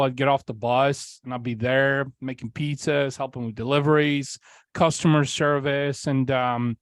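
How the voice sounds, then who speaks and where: Smooth-voiced man from Bulgaria. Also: medium pitch, no echo. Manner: candid, rambling, with frequent fillers and occasional swearing. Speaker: podcast guest. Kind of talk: casual interview storytelling.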